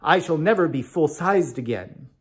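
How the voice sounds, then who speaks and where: A man playing grumpy fat little man, storybook character, husky, swooping pitch, threatening and pleading. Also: expressive, moderate pace. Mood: anguished, pleading.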